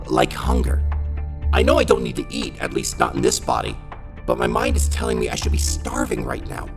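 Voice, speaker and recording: Male actor for uneasy young robot-bodied turtle, high creaky voice, hesitant, audio drama. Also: huge pitch swings, brisk, over music, heavily acted. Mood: uneasy, weary. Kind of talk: fan audio drama acting.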